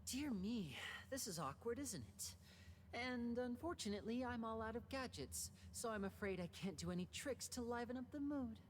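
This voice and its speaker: A woman voicing a suave, composed young male stage magician in an anime game, with smooth theatrical showmanship. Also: medium pitch, a little hiss or hum, lightly acted. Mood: playful.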